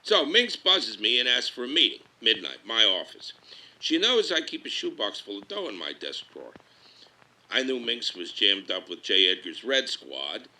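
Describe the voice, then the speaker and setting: A very bright, hard-edged male voice acted by a man plays a sleazy B-movie producer in an audio drama. He is lowish in pitch and brash, spinning his story in crude, wisecracking mid-century showbiz slang. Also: very animated, normal speed, heavily acted, rough recording. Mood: brash, wry. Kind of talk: documentary-interview style monologue, mid-century showbiz slang.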